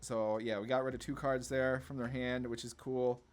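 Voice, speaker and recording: A man's voice: mid-range voice, flat delivery, moderate pace. A man giving casual card game commentary, thinking aloud and often questioning his own choices as he goes. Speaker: gameplay video host. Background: background music.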